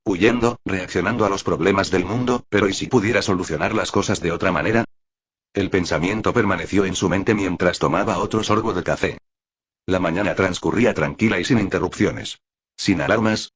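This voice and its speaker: A man's voice: sits low, slightly rough, muffled recording. A man reading scripted fiction aloud as an audiobook-style narrator.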